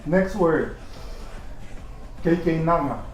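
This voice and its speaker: A man who grew up in Tonga, quizzing family members in a relaxed way. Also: a little high, roomy sound, slight background noise. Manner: relaxed quizmaster, quick corrections. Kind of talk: casual family game.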